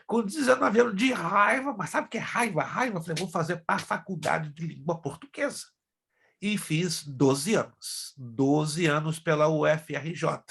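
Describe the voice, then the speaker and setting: Gravelly husky pastor teaching a class. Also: male voice, on the high side, normal speed, a bit bright. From Brazil. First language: Hebrew and Spanish. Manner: chatty, addresses class directly. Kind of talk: online class lecture.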